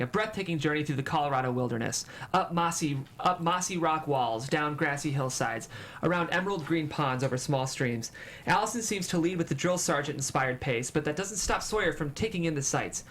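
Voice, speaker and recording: A man's voice: a little high, lively intonation, fairly quick, fairly bright tone. A man reading stage directions as the narrator of a script at a table read.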